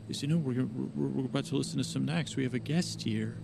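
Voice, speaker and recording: Super creaky guy doing a rambly podcast monologue, keeps trailing off, loud hum and noise behind him. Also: mid-range voice. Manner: meandering, halting, repeats and trails off. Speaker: podcast host. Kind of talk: rambling improvised bedtime story monologue.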